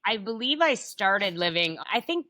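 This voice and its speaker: A woman in her fifties speaks with a big, forthright voice that rings bright and forceful. She talks openly and directly in an easygoing interview. The sound is close and dry. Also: mid-range voice, big pitch swings, normal speed, no room sound. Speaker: guest, tech founder and author. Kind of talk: casual interview conversation.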